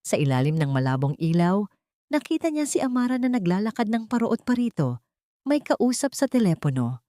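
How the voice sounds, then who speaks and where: A woman's voice: medium pitch, big pitch swings, fairly quick, mellow, dry recording, clean studio sound. A woman storyteller reading a scripted dramatic narration.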